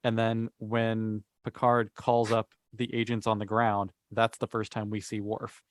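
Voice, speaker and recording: A man's voice: lowish voice, monotone, unhurried, no echo. A man talking casually as a panelist in a podcast discussion.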